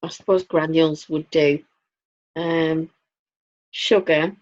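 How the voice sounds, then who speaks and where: A woman's voice: low-pitched, fairly even intonation, takes it slowly, a little mellow. A woman with a Northern English accent co-hosting a casual chat over a video call, rambling and dry-humored. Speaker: co-host. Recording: dull lo-fi audio.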